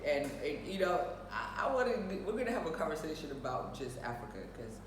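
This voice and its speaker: A woman's voice: deep voice, big pitch swings, fairly quick. An American woman talks from a little way off in a very echoey room with faint noise behind her. She is a show host chatting casually and bluntly, driving opinions home with emphatic repetition. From United States. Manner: emphatic, outspoken, repeats phrases for emphasis. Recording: roomy echo, distant mic, faint noise.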